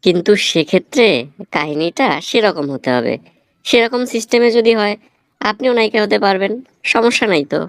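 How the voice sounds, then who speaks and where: A man's voice: sits high, fairly quick, dry recording, clean studio sound. A man voicing a blunt, teasing film writer who lectures sardonically in a Bangladeshi accent, in a comic cartoon. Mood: lecturing.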